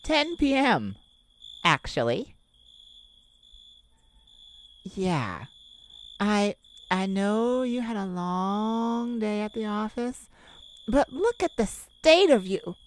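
A woman's voice: mid-range voice, big pitch swings. A woman voicing a sassy, kind-hearted cat friend in an ASMR roleplay, theatrical and teasing as she scolds the listener in a motherly way. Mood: exasperated. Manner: theatrical, teasing, drawn-out emphasis. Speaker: audio roleplay performer.

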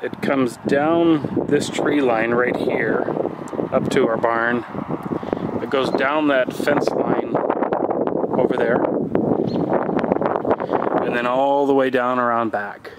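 A man in his forties giving a casual, relaxed walking tour of his homestead, thinking aloud with fillers as he films himself on a handheld camera. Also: mid-range voice, takes it slowly, pauses often, heavy background noise. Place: outdoors and in farm buildings. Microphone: handheld camera mic, filming himself.

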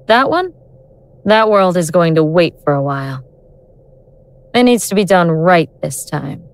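A godlike being who remakes worlds, in a low female voice that takes its time. She is cold and imperious, speaking with deliberate disdain. The audio drama delivery is close and dry. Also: very animated, no room sound. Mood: imperious, impatient.

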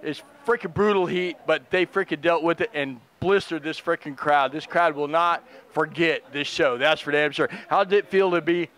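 A man's voice: a little high, normal speed, hardly any pauses, fairly bright tone. A middle-aged man with a slightly raised voice hosts a backstage interview outdoors. He talks with hyped enthusiasm and casual swearing. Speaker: interview host. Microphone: handheld mic.

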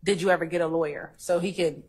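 A woman's voice: on the low side, fairly even intonation, fast talker, darkish tone, breathy edge. A woman arguing as a prosecutor in a courtroom. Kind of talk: courtroom argument. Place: large wood-paneled courtroom.

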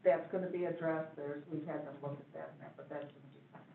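A man giving a building report at a township board meeting, halting with many fillers and restarts. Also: a little high, little pitch movement, creaky, slight background noise. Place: meeting room. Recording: echoey room, far mic, phone-like band.

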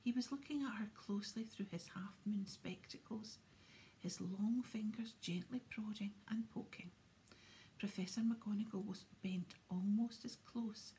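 A woman reading a story aloud in a soft, unvoiced whisper. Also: medium pitch, a little slow, slight background noise. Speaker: audiobook reader.

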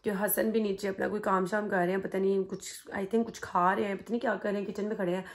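A woman in her thirties, hoarse from a sore throat, chatting slowly and tiredly to her phone camera at home as a family vlogger. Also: mid-range voice, little pitch movement. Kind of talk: casual vlog chat to camera. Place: indoors at home. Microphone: phone held at arm's length.

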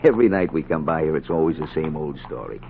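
An actor playing a dismissive, scrappy husband, gruff and combative, in a 1940s radio drama. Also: male voice, a bass voice, animated, fast talker. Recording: muffled, hissy 1940s radio recording.

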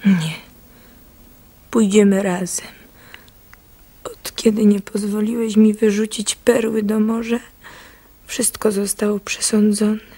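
An actress playing an anxious, devoted young wife in flight in a radio drama, pleading and often whispering. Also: female voice, mid-range voice, little pitch movement, slow, pauses often, mellow. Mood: desperate. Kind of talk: Polish radio drama acting. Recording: radio drama with music behind.

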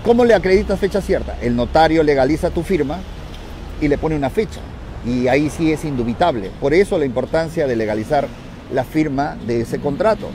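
A man's voice: on the high side, dark tone. A man with a Peruvian accent coaching viewers in an instructive vlog style, emphatically repeating his phrases. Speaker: real estate investment coach. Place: outdoors on a city street. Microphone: handheld phone. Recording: street noise around a handheld phone.

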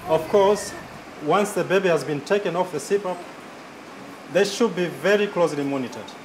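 A man's voice: sits high, moderate pace, poor audio. A middle-aged man, a clinician, explaining instructions to camera in a hospital room. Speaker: clinician presenter. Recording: faint room noise.

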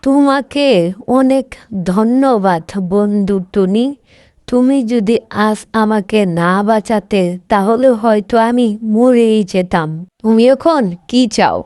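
A woman's voice: a little high, slow pace, darkish tone, no room sound, studio quality. A woman voicing a grateful, generous female serpent spirit in a cartoon. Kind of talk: cartoon voice acting.

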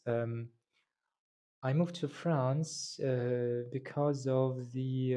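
A man's voice: mid-range voice, mellow. A young man whose first language is Tatar, talking casually to camera as a language teacher, thinking aloud with fillers, into a handheld clip mic indoors. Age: young adult. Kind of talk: casual video talk. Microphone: handheld lapel mic held near mouth.

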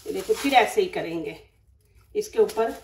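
A woman's voice: mid-range voice, expressive, normal speed, darkish tone, reverberant, distant mic, a little hiss or hum. A woman with a Pakistani Urdu accent hosting a cooking demonstration at home, chatty and instructive, often saying religious phrases. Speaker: cooking channel host. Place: home kitchen.

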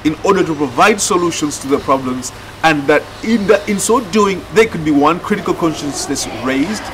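A man's voice: a little high, animated, moderate pace, a bit bright, a little hiss or hum. A man explaining methodically, as a project facilitator in a documentary interview. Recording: distant mic under background music. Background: music.

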